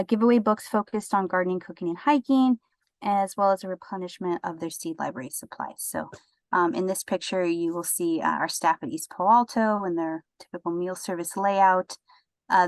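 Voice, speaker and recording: Low-voiced woman presenting slides. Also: dry recording, low-quality recording. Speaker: branch manager. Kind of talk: webinar presentation.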